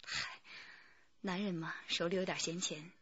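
A breathy, guarded businesswoman. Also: fairly low pitch, steady tone, measured pace, a bit bright, no room sound, dull audio. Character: poised, guarded businesswoman, company manager. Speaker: actress in a radio drama. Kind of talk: radio drama acting.

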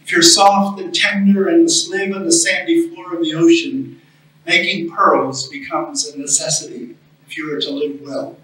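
A middle-aged man speaking as a chaplain at a memorial service, deliberate and solemn, pausing between phrases. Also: on the high side, fairly even intonation, fairly bright tone. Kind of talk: memorial homily, reading a reflective story. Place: small chapel, indoors at a lectern. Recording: echoey room, distant microphone.

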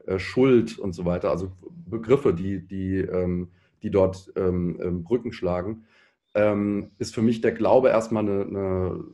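A man's voice: low voice, warm tone. A man in his thirties speaking reflectively as a panel guest, searching for words and often rephrasing mid-sentence. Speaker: panel guest, pop musician.

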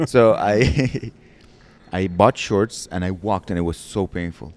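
A man in his forties with a fairly low voice tells a funny story on a podcast. He speaks into a handheld mic from a living-room couch and acts out the lines he quotes, swinging into playful voices. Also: slow. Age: 40s. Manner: animated storyteller, acts out quotes with big voice jumps. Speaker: podcast co-host. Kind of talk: casual comedic chat.

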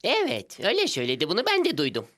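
Very animated, plucky cartoon sidekick. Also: female voice, medium pitch, rapid-fire. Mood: coaxing. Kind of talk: cartoon dubbing.

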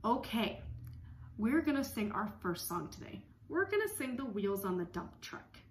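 A woman in her thirties leading songs and story time for young children, speaking in simple, repetitive, expressive phrases. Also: a little high, moderate pace, reverberant, slight background noise. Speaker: speech-language pathologist leading circle time. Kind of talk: children's songs and read-aloud lesson. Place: small room at home.

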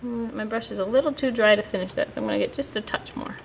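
A woman explaining a watercolor painting lesson step by step in a calm, patient way while she paints at a table. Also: on the high side, fast talker, vocal fry, slight background noise, rough recording. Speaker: art teacher. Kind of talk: painting demonstration. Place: indoors at a table, hands-only overhead camera.

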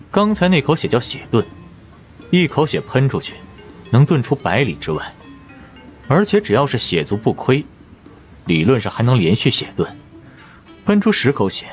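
A man voicing an aloof, powerful boss of supernatural investigators in an audiobook, condescending, unhurried and matter-of-fact. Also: medium pitch, wildly swooping pitch, voice pushed up. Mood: amused, smug. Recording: music under the voice.

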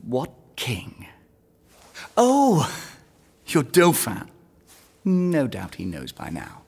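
An actor playing a smug, mocking medieval lord in an audio drama, suave and falsely courteous. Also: male voice, medium pitch, huge pitch swings, very slow, gravelly, darkish tone, husky, big-room sound, heavily acted. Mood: mocking scorn. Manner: suave, mock-courteous, taunting.

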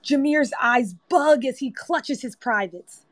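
A woman's voice: sits high, animated, slow, no room sound, studio quality. A woman reading scripted screenplay narration as the narrator of a table read. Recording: online group voice-chat app.

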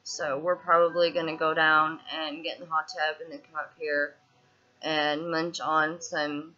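Bright-voiced Southern woman venting to webcam. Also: deep voice, little pitch movement, measured pace, lo-fi sound. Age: 20s. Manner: frustrated, venting with frequent restarts and fillers. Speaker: vlogger. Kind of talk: casual video diary. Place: small indoor room, seated on a couch.

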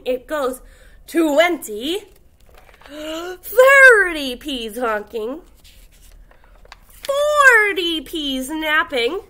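Young female kindergarten teacher for a children's read-aloud lesson; bright, high voice, exaggerated and encouraging. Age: young adult. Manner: animated, exaggerated, encouraging, slow enunciation for small children. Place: indoors, classroom.

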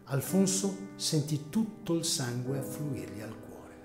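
An echoey recording with music under a male narrator. He tells a dramatic story at a mid pitch, in a measured and solemn literary style. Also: very animated.

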